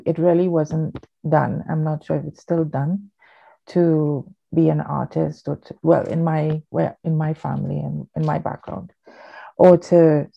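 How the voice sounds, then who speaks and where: A woman's voice: low-pitched, creaky, mellow. A woman from Trinidad, older, giving a rambling, chatty workshop talk with many fillers over a home video call. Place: living room at home. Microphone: laptop webcam on a video call. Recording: dull lo-fi video call audio.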